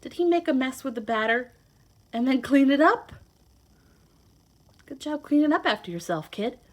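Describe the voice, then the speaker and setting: A woman reading a children's picture book aloud in a playful, expressive, sing-song way, adding simple asides to her young listeners. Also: high voice, normal speed. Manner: simple child-directed explaining. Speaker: children's read-aloud host, teacher.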